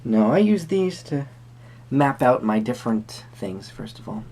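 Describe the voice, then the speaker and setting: A performer playing a quirky, friendly tarot reader at a Renaissance fair, speaking to the viewer in halting, theatrical phrases. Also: mid-range voice, lively intonation, mellow. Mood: whimsical, musing. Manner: hesitant, theatrical, halting phrasing with sudden emphasis. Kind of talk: improvised first-person roleplay addressed to the viewer.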